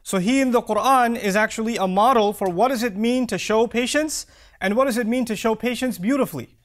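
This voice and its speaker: A man in his thirties giving an expressive Friday sermon in a small prayer hall, reciting verses and then explaining them. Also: very high voice, brisk. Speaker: preacher. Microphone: lapel mic.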